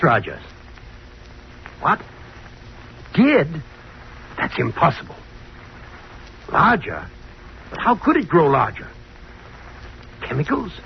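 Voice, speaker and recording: Blustering, pompous doctor, voice swinging widely. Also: male voice, high-pitched, gravelly, exaggerated voice. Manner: blustering, indignant, sputtering. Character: flustered, pompous university head, doctor. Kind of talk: old-time radio drama acting. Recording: muffled, noisy old radio recording.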